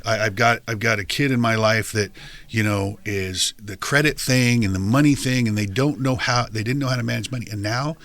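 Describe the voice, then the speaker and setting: Loud, forceful man hosting a podcast. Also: on the low side, brisk. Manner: passionate, stammering restarts. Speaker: podcast host. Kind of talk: casual podcast conversation.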